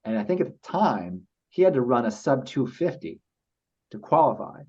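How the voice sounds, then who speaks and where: A man speaking as a podcast guest, telling personal stories in a halting, reflective and earnest way. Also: medium pitch, very animated, mellow, breathy edge. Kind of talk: casual interview storytelling. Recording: slightly dull lo-fi audio.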